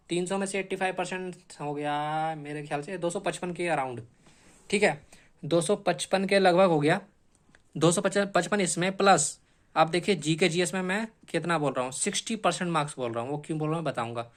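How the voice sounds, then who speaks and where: A man gives an explanatory coaching lecture. He repeats key points for emphasis and often adds a quick tag question to check that students follow. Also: on the high side. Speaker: exam coaching teacher. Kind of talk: explanatory exam-strategy lecture.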